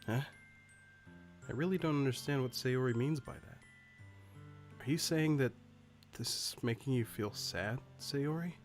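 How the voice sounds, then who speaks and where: A man playing an earnest, worried high school student who narrates a visual novel in the first person. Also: unhurried, pauses often, soft background music, close to an everyday voice. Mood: concerned, tender.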